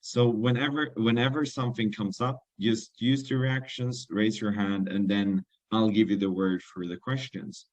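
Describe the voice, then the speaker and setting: A young man, low in pitch for a man, hosting a webinar and introducing his guest. He speaks politely and a little hesitantly, with many ums and uhs. The sound is dry and close, with hardly any room around the voice. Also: fairly even intonation, moderate pace, hardly any pauses, dry recording. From Sweden. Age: young adult. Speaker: webinar host, business intern.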